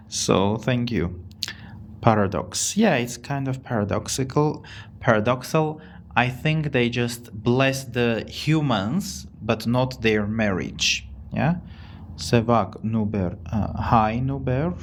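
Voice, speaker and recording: A man with an Armenian accent hosts a casual live English lesson. He speaks at a mid pitch and rephrases each point slowly for his learners. A faint hiss sits under his voice. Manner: slow, repeats and rephrases words for learners. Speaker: language teacher on a live stream.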